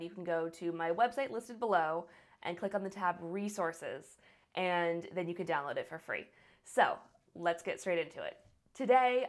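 An American woman in her thirties teaches medical English straight to camera from a small home office, her voice sitting a little low for a woman. She slows right down to model each example question, stressing every word. The sound is close and direct. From United States. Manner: slow, deliberate modeling of example phrases. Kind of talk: scripted online lesson.

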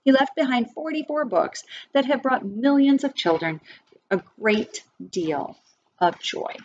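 A middle-aged woman reading a children's picture book aloud expressively, with deliberate phrasing for young listeners. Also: high-pitched, animated, slight background noise. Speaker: read-aloud storyteller for children. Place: wood-panelled room indoors. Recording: slightly dull webcam sound.

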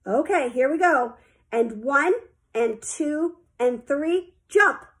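A woman's voice: sits high, animated, takes it slowly. A woman voicing an encouraging, insistent male swimming teacher in a children's story, coaxing and emphatic. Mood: urging.

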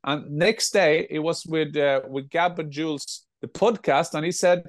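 A man in his sixties with a Norwegian accent, co-hosting a casual football chat from home over a video call, animated and given to restarting his words. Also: on the high side, a little slow, dry recording. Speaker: football pundit and co-host. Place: home room with football shirts.

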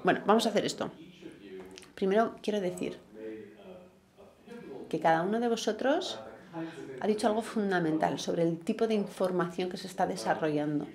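A man's voice: high voice, normal speed, creaky, pauses often, crowd noise. Middle-aged man moderating a conference panel. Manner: summarizing, conversational, with fillers. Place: large indoor event hall with seated audience.

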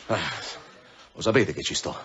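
Male sergeant for radio play: wary, blunt, low husky voice, animated delivery. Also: moderate pace, lo-fi sound, full character voice. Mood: heated, accusing. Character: wary, blunt police sergeant, anxious family man. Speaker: actor in a radio drama. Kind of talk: naturalistic radio drama acting.

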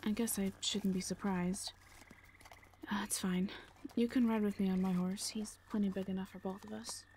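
A woman playing a calm, reassuring orc mercenary leader in an audio drama, speaking softly in measured, plain, short phrases. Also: medium pitch, slight background noise, natural voice. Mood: kindly, firm. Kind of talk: audio roleplay drama acting.

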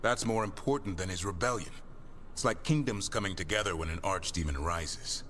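A thoughtful warrior in a fantasy game. His fairly low voice is serious and matter-of-fact. There is faint noise behind it. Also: a little fast, a bit bright. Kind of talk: game party dialogue.

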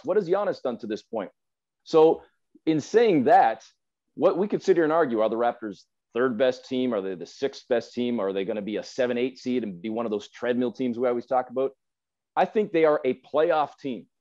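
A man's voice: medium pitch, darkish tone. Guy in his 40s arguing sports on a video call, emphatic, repeats himself for effect, bit muffled. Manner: emphatic, repetitive phrasing for effect. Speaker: radio sports announcer, podcast guest. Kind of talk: opinionated sports discussion. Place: indoor room at home, video call. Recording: slightly dull video-call audio.

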